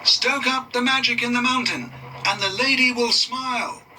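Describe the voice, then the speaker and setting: A man's voice: very high-pitched, moderate pace, bright, forceful tone, recorded from a distance, a little hiss or hum, voice pushed up, full character voice, rough recording. A man voicing an earnest, encouraging magical railway conductor in a children's storybook, with an urging lilt.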